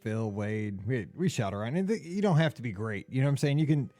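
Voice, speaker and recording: A man's voice: mid-range voice, sing-song, quick pace, warm tone, faint music behind. A man hosting a podcast, chatting casually in a loose way with lots of fillers and hedges. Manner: loose, filler-heavy, hedging with "kinda". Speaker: podcast host. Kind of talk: casual chat.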